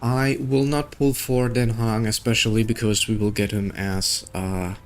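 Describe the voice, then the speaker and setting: A man giving casual playthrough commentary as a gaming video host, hesitantly thinking out loud. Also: lowish voice, level tone, a little slow. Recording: background music under the voice.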